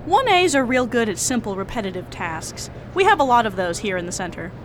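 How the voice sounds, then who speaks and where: A woman playing a brisk, folksy, matter-of-fact receptionist at a care center in an audio drama. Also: big pitch swings. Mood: brisk, helpful. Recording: clear background rumble and noise.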